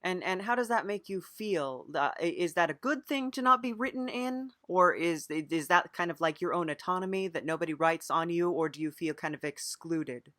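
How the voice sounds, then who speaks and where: A podcast interviewer with a mid-pitched voice, recorded close and dry. The questions come earnestly and haltingly, with murmured acknowledgements, as part of an improvised mock interview. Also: animated, sharp tone, no room sound.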